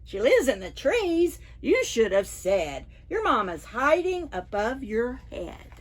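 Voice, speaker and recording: A woman's voice: on the high side, very animated, slow pace, exaggerated voice. A woman voicing an eager, helpful but muddled butterfly in a storybook read aloud for preschoolers.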